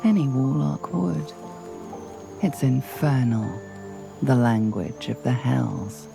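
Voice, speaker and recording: An actor playing an ominous storyteller narrating a dark fantasy game in a gravelly, breathy half-whisper. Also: male voice, medium pitch, takes it slowly, over music. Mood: hushed, ominous. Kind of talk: second-person game narration.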